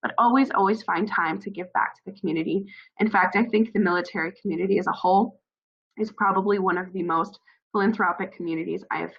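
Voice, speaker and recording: A woman's voice: medium pitch, quick pace, gravelly, husky, highs cut off. A woman with a scratchy, froggy voice from a cold, earnestly giving encouraging advice with frequent fillers. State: hoarse from a cold. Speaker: speaker advising new military spouses. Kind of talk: informal advice talk.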